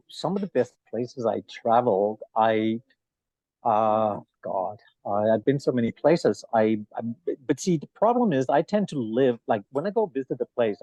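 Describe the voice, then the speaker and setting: A man's voice: lowish voice, warm tone, no echo, low-quality recording. A middle-aged man from Denmark, a native Danish speaker, chatting casually as a podcast guest in a rambling, self-interrupting way. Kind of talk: casual chat, travel anecdotes. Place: bar.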